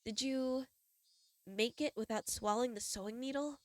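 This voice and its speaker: A woman's voice: a little high, moderate pace, no echo, studio quality. Stuffy-nosed bubbly young woman streamer. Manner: bubbly, giggly and gushing. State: stuffy nose from a cold. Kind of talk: casual chat and reading a visual novel aloud.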